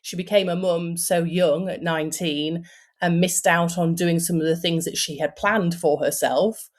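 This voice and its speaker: A woman's voice: on the low side, steady tone, moderate pace. A woman in her forties, an author, talking about her book in a casual interview, chatty with lots of fillers and restarts. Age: 40s.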